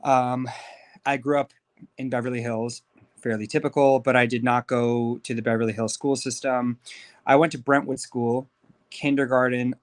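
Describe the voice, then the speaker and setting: A man of thirty from Los Angeles, a life coach who is a podcast guest, telling his life story in an upbeat, candid way. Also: mid-range voice. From Los Angeles, USA. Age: 30s. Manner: upbeat, candid, talks freely with fillers. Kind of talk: casual interview storytelling.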